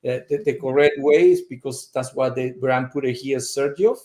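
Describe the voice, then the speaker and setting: A man's voice: medium pitch, moderate pace, darkish tone. A man, speaking casually as a guest. He talks, often searches for words and uses many fillers. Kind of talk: casual conversation. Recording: echoey room.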